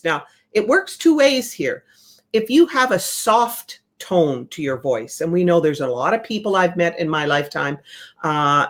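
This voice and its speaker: Real estate sales trainer, conversational coaching delivery, repeats key points. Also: mid-range voice.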